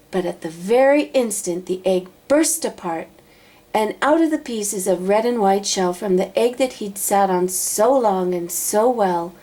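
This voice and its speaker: A woman narrating a children's storybook aloud, expressively, with dramatic drawn-out emphasis. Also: mid-range voice, warm tone. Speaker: storybook reader.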